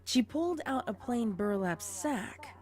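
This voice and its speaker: A woman's voice: on the high side, expressive, unhurried, a little mellow, soft background music. A woman narrating a scripted story as an audio drama narrator.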